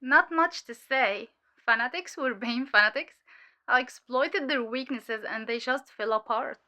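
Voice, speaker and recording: Woman acting bright-voiced female undercover infiltrator. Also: a little high. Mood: guarded, dismissive. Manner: hesitant, measured recounting. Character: weary, sharp female undercover cult infiltrator giving testimony. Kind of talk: audio drama dialogue, debrief testimony.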